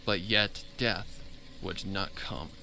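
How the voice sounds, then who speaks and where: A man's voice: sits low, slow pace, creaky, crowd noise. A man reading a horror fan fiction story aloud as dramatic scripted narration.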